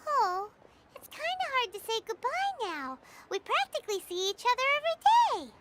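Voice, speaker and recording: A woman voicing a small, cheerful, childlike fairy companion in a video game, chirpy and squeaky. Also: very animated, normal speed, very noisy. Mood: wistful. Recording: game music under the voice. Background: game music.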